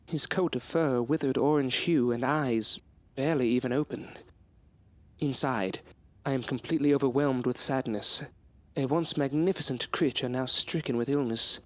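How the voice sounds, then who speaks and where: A man's voice: mid-range voice, normal speed, a bit bright, no echo, natural voice. A man playing a polite, earnest young student in a gothic audio drama, speaking in formal, old-fashioned phrasing. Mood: somber, wondering. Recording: music under the voice.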